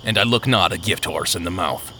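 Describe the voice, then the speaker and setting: A man voicing a cold, menacing male robber who speaks in low, terse lines. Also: fairly quick, pushed and bright, a little hiss or hum.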